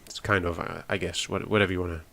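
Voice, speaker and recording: A man's voice: low voice, level tone, fast talker, vocal fry, sharp tone. A young man with a cold, sounding nasal and snotty as he casually walks through a game with plenty of ums. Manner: rambling, explains as he goes, frequent ums. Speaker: gaming channel host. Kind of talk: casual gameplay walkthrough.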